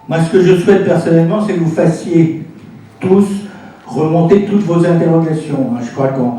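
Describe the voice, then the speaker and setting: A Frenchman speaks as a council president giving an informal briefing, in a mellow, slightly high voice. He explains step by step with many pauses and little tag words. He is picked up from a distance in a roomy, reverberant hall. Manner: explanatory, often pausing and adding "hein" as a tag. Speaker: intercommunal council president addressing a meeting. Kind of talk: informal public presentation to a local council. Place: indoor council meeting room. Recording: echoey room, distant mic, faint noise.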